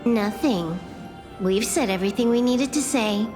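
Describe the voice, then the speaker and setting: Give the voice of a young hacker as a woman acts her in a video game. She is cocky and nonchalant, taking her time with slyly teasing lines over clear background music. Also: fairly high pitch, unhurried, natural voice. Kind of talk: video game story dialogue.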